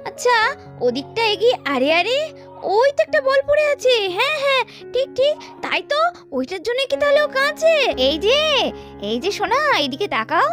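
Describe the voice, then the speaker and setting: Very high, animated young auntie voiced by a woman. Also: normal speed, long pauses, soft background music. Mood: helpful, coaxing. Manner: exclamatory, chatty, gentle with children. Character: helpful young aunt doll, concerned and coaxing. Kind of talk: children's doll cartoon.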